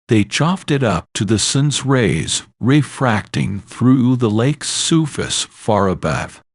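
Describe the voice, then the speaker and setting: A man's voice: mid-range voice, dry recording, clean studio sound. A man narrating a scripted horror story in an ominous, dramatic manner.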